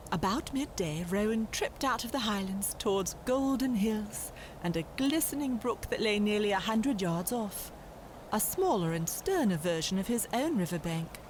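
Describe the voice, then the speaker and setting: A woman reading scripted storybook narration in a literary, expressive storytelling manner. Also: medium pitch, slight background noise, low-quality recording. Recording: music underneath.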